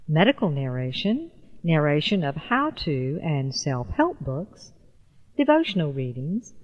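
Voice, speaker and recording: A woman's voice: sits low, highs cut off. A woman voice-over artist reading scripted narration as an audiobook and demo narrator.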